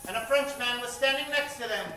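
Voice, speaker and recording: A man's voice: very high voice, a little fast, bright, forceful tone, exaggerated voice. A man playing a theatrical, melodramatic announcer and narrator in a 1940s-style radio serial. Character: excitable old-time radio announcer narrator. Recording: very echoey stage, distant mic, music behind.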